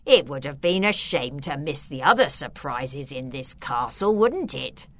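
Storybook villain count, acted by a woman, icy, cruel, slow, menacing, mock-polite. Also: a bass voice, expressive, a bit bright, a little hiss or hum, pitched below the performer's own voice, heavily acted. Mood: icy mockery. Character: icy, furious, sinister old count, cruel uncle.

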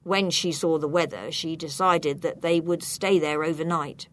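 A woman's voice: low voice, steady tone, normal speed, a bit bright, voice pushed down. A woman voicing a flustered, innocent father under suspicion in a storybook, speaking in an earnest, hesitant way. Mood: earnest, uneasy.

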